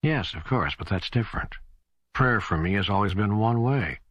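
A man's voice: lowish voice, lively intonation, moderate pace, a bit bright, no echo, highs cut off. A man playing an earnest, doubting seeker in an audiobook dialogue, speaking in a hesitant, searching way. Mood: skeptical, earnest. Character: earnest, doubting male seeker questioning God.

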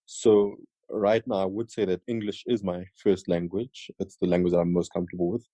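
Young male podcast co-host from South Africa, husky and dry. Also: low-pitched, fairly quick, dry recording. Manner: relaxed, chatty, jokes with his co-host. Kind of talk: casual conversational podcast.

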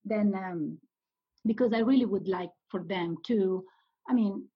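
A woman's voice: medium pitch, unhurried, slightly rough, dull audio. A woman with an Italian accent, a guest on an interview podcast, answering questions with frequent fillers and restarts. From Italy. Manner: hesitant, many fillers and restarts.

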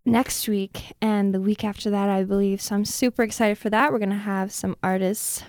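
A woman's voice: medium pitch, fairly even intonation, normal speed, a little mellow, no echo, studio quality. A Canadian woman hosting a casual radio interview in an informal, slangy, enthusiastic way. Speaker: radio show host. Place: radio studio.

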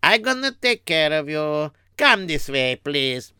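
A man's voice: on the high side, sing-song, slow, sharp tone, clean tone, no room sound, clean studio sound, pitched above the performer's own voice, heavily acted. A man voicing an eager, obliging tailor who speaks broken English in a comic story.

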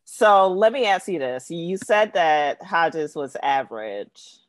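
Unhurried man, dry close sound. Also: fairly high pitch, big pitch swings, no room sound. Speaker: podcast host. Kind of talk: casual interview chat.

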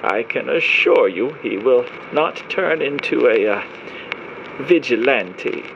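An actor playing a protective ship's doctor in an audio drama, polite, formal and measured, with wordy phrasing. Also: male voice, medium pitch, animated, vocal fry, highs cut off, slight background noise. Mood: hesitant, reassuring.

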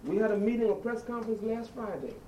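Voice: mid-range voice, expressive, quick pace, mellow, dull audio. A person making an earnest, emphatic public appeal as a speaker at a press conference. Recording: roomy, distant mic, faint noise.

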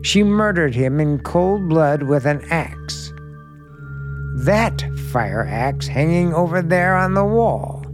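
An actor playing a menacing, obsessed hotel owner in 1940s radio suspense style, brooding, sinister and deliberate. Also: male voice, on the high side, animated. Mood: grim recounting. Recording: old radio drama with music behind.

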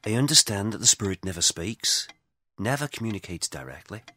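Man playing a husky, low-voiced solemn priest. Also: expressive, pushed and bright. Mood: probing, calm. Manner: measured, formal, reserved. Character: solemn, dry-spoken exorcist priest with no parish. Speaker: actor in an audio play. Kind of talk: audio drama acting.

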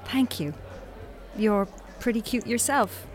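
A woman's voice: a little high, slow pace, some chatter behind. An actress playing a wry, guarded young woman on a first date in an audio drama, with dry, teasing delivery. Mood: playful. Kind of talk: audio drama acting, naturalistic dialogue.

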